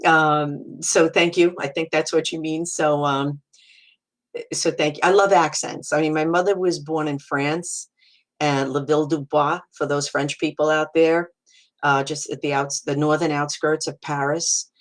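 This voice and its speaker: A middle-aged woman with a Brooklyn accent, a psychic medium hosting a casual live video and greeting viewers. She talks in a warm, reassuring way, restarting phrases and leaning on "you know.". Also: sits low, fairly even intonation, unhurried. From Brooklyn, New York. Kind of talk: casual live-stream talk, reading viewer comments.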